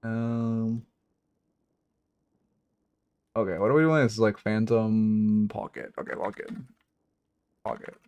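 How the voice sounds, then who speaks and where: A man talking casually on a live stream into his streaming microphone. He thinks aloud, swears now and then, and speaks in a low voice with high inflections. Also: fairly even intonation, slow, pauses often, dry recording, highs cut off. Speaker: game coach and streamer.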